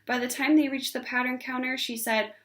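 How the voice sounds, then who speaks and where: A woman narrating a children's story aloud in an expressive read-aloud style. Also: high-pitched, fairly quick.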